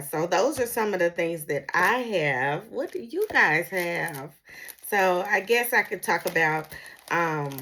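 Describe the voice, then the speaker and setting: A woman's voice: deep voice, a bit bright. A middle-aged woman talking in a casual, rambling solo vlog style, often searching for her words. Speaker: vlogger, former emergency dispatcher.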